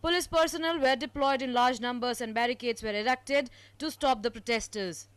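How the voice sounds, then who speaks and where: A woman's voice: fairly high pitch, fairly quick, smooth, no room sound. A woman with an Indian accent reading a scripted news report as a voice-over.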